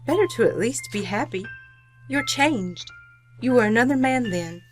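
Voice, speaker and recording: An actress playing a sad but resolute young fiancée in old-time radio drama style, measured and quietly reproachful. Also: female voice, a little high, lively intonation, soft background music, natural voice.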